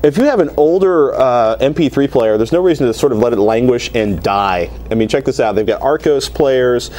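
A man's voice: mid-range voice, expressive, fairly quick, a little mellow. An American man around forty hosting a tech show, casually demonstrating a product in a rambling, conversational way. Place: small TV studio at a desk. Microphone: lapel mic.

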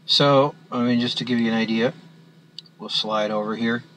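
A man walking through a spreadsheet on screen as an instructor, talking in a halting way with many fillers and restarts. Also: mid-range voice, steady tone, a bit bright, a little hiss or hum. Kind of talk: screen-recorded software walkthrough.

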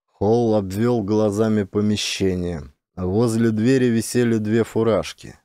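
A man's voice: fairly low pitch, fairly even intonation, measured pace, warm tone, no room sound, studio quality. A man reading scripted fiction as a dramatic audiobook narrator.

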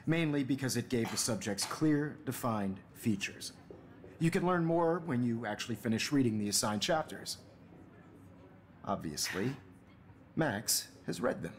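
A man's voice: medium pitch, lively intonation, measured pace, a little hiss or hum. A man playing a self-assured photography teacher in a video game, lecturing articulately with faint condescension. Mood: pointed, patronising. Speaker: game character.

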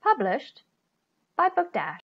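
A middle-aged woman reading a children's picture book aloud, expressively, with deliberate phrasing and stressed key words. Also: sits high, huge pitch swings. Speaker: children's story reader. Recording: slightly dull, lo-fi computer recording.

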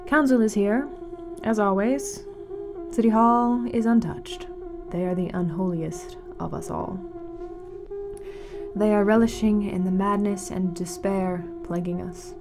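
A woman playing a grave, mournful radio announcer in a fiction podcast, speaking solemnly and dramatically with weighted pauses. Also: medium pitch, music in the background, natural voice. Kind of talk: scripted fiction-podcast narration as a community radio broadcast.

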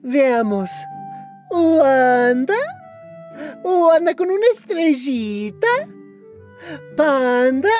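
Dim, goofy male cartoon fairy, extremely high childlike voice, slow exaggerated delivery. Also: lively intonation, darkish tone, faint music behind, exaggerated voice. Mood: breezy, then mopey. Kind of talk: cartoon dub.

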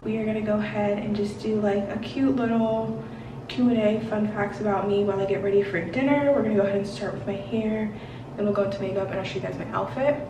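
A woman's voice: medium pitch, fairly even intonation, quick pace. Chatty young woman, echoey bathroom. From Washington, D.C. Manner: chatty, rambling, many fillers and "like". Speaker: beauty vlogger. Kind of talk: casual get-ready-with-me chat. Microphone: camera a few feet away. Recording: roomy bathroom echo, mic at a distance, noisy.

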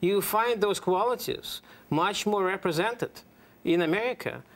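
Man, slightly high voice, panel analyst, halting delivery, political commentary. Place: TV studio.

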